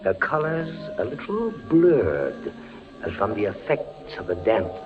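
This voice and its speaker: An actor playing a frail, desperate condemned prisoner in a halting, breathless, theatrical 1940s radio style. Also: male voice, fairly low pitch, wildly swooping pitch. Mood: haunted recounting. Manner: halting, breathless, theatrical delivery with repeated words. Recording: muffled 1940s radio recording with music.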